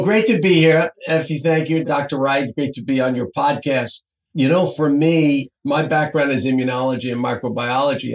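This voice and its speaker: A man's voice: medium pitch, roomy sound, recorded from a distance. A man in his fifties, a prosthodontist, telling his story as a podcast guest. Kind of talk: podcast interview.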